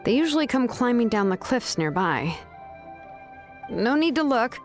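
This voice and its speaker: A woman's voice: a little high, very animated, normal speed, soft background music. A woman voicing a laid-back, mildly stubborn young woman in a video game, casual and nonchalant. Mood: matter-of-fact.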